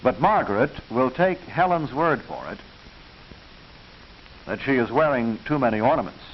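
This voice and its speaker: An American man reading scripted narration for a 1940s educational film, instructive and proper, with measured enunciation. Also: mid-range voice, big pitch swings. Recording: hissy, muffled 1940s film soundtrack.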